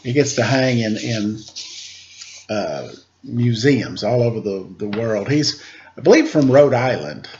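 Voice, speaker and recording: A man's voice: medium pitch, a little slow, slight background noise. Guy doing storytime for kids, playful and chatty, really swingy voice, kinda muffled computer mic. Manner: playful, informal, animated. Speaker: storyteller, host reading picture books aloud. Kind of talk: casual chatty introduction and expressive read-aloud for children. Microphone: computer microphone. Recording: dull lo-fi computer microphone.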